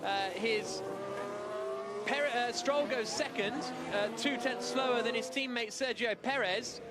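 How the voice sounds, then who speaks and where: A man giving live motor racing commentary in an excited running style with hesitant pauses, racing engines behind him. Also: very high voice, very noisy. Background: racing car engines.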